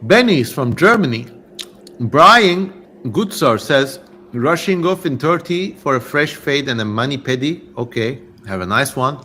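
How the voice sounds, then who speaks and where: A man's voice: medium pitch, animated, a little slow, bright, forceful tone, a little hiss or hum. A man from Turkey with a Turkish accent, hosting a casual livestream in a playfully theatrical way, chatting and reading out viewer comments. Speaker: livestream host and reader.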